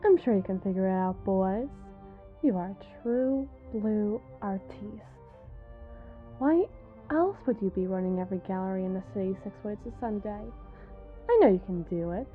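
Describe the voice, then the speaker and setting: A woman's voice: medium pitch, normal speed, narrow, tinny audio, faint music behind, natural voice. A woman playing a menacing, mockingly sweet female mob boss in a dramatic ASMR roleplay, taunting and drawing out her words for emphasis. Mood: sinister, commanding.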